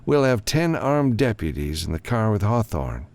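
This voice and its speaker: Give the audiobook a grim, commanding lawman as acted by a male narrator. He briefs in a frank, businesslike way, raised in pitch, with wide emphatic swings and a gravelly creak. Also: very animated, normal speed, a little mellow. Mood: businesslike, firm.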